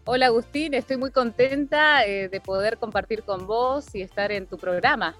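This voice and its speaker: A woman's voice: on the high side, moderate pace, a bit bright. Hesitant Argentine woman over faint music. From Bahía Blanca, Argentina. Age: 40s. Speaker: interview guest. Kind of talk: personal storytelling in a casual interview.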